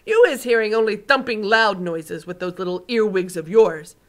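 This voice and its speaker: A woman voicing a huge, gentle male giant with mangled grammar while reading a storybook aloud. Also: medium pitch, normal speed, close to an everyday voice. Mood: earnest. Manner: loud, earnest, mangled grammar.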